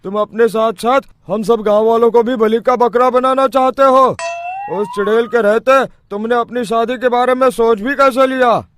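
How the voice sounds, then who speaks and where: A man's voice: extremely high pitch, fairly even intonation, normal speed, slight background noise. A man voicing a cautious, scolding villager in a children's cartoon. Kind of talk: children's cartoon story acting.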